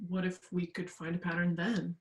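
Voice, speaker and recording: A woman playing an earnest, vulnerable young woman haunted by grief in a staged reading, speaking in an urgent, halting way. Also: lowish voice, monotone, close to an everyday voice, rough recording. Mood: insistent.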